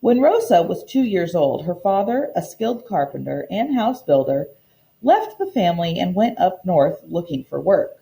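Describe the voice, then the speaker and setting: Lady teacher reading a picture book out loud, soft mellow voice, lots of expression, careful and instructive. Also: fairly low pitch, sing-song, normal speed, gravelly, rough recording. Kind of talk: expressive storybook read-aloud lesson.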